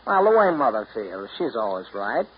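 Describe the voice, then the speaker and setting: A man's voice: on the low side, very animated, normal speed. An actor playing a blunt, misanthropic man with a hesitant yet insistent manner, in 1930s radio drama style. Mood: bleak, insistent. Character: Sid, blunt misanthropic son of eccentric inbred family. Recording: muffled noisy 1939 radio broadcast.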